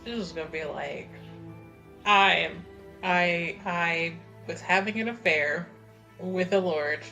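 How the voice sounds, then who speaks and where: A woman's voice: on the low side, slow, creaky, pushed and bright, soft background music. A woman chatting casually as a tabletop roleplaying player, narrating her character in informal, sweary language. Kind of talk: casual improvised tabletop game chat.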